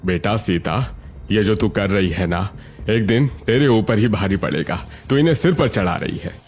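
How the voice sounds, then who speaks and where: A man's voice: fairly low pitch, expressive, normal speed, breathy edge. A man with an Indian accent playing an anxious old village father-in-law in a family cartoon, fretful and emphatic. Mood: warning.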